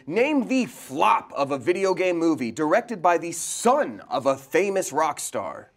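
A man's voice: on the high side, sing-song, unhurried, slight background noise. A young man hosting a playful quiz show with a dry, teasing manner. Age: young adult. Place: indoor studio set. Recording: music bed behind the voice.

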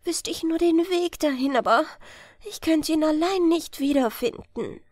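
A woman's voice: sits high, a little slow, a little mellow, no room sound, studio quality, voice pushed up. A woman voicing a wistful, curious young fisherman's daughter in a fairy-tale audiobook, with yearning exclamations. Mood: tender wonder.

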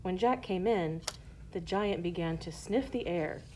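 Mellow woman narrating a children's story. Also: lowish voice, unhurried, a little hiss or hum, poor audio.

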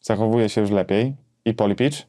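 A man in his thirties explaining a gear comparison conversationally, with hesitant pauses as he thinks. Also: on the low side, dark tone, faint music behind. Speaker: gear reviewer and co-host. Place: small home music studio, indoors.

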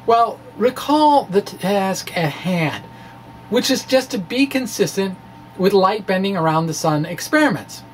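A man's voice: high voice, lively intonation, a little slow. Quirky man over noticeable background noise. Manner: quirky, theatrical, jokey, folksy. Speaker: science video blogger. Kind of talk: dramatic reading of a physics blog with informal asides. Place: small indoor room with bookshelves. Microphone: microphone on a stand in front of him.

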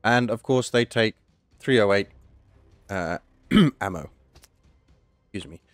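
A man with a hoarse voice, casually talking through a game update and thinking aloud as he plays. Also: on the low side, animated, slow, no echo. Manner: chatty, thinking aloud, self-correcting. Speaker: gaming channel host. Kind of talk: casual gameplay commentary.

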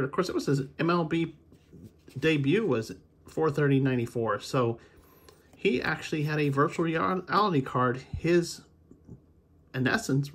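A man's voice: on the high side, breathy edge. An American man chatting casually and unscripted, rambling a little as he thinks aloud like a hobby video host. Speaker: video host, baseball card collector. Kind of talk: casual unscripted chat, commentary while opening card packs.

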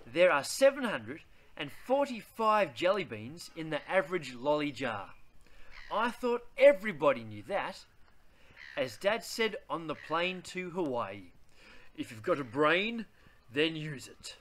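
A man, reading a children's picture book aloud in a deliberate, theatrical way. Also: fairly high pitch, very animated, a bit bright. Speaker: read-aloud host.

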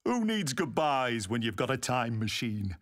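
A man voicing a brisk, cheeky, commanding time traveller in an audio drama, emphatic and teasing. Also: mid-range voice, big pitch swings, a bit bright. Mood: upbeat, teasing.

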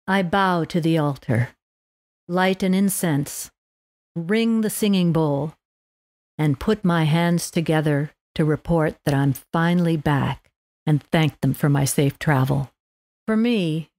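Low-pitched woman reading aloud, dry sound. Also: a little mellow, no echo. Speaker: podcast host and narrator. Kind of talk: scripted read-aloud narration for language learners.

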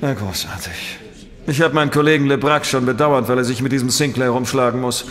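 A dry, world-weary police inspector, played by a man questions someone in a radio play. His sceptical voice sits at an average pitch with long reverb around it. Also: a little fast, a bit bright, close to an everyday voice. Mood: weary, grumbling. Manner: dry, interrogating. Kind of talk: German audio drama dialogue.

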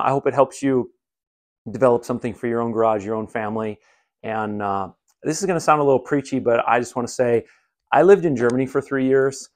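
A middle-aged man giving a relaxed, rambling walkthrough of his home garage setup, filmed on a handheld phone, with many fillers. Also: medium pitch. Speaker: home gym vlogger. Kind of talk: casual how-to walkthrough. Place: home garage, then attic. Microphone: handheld phone camera.

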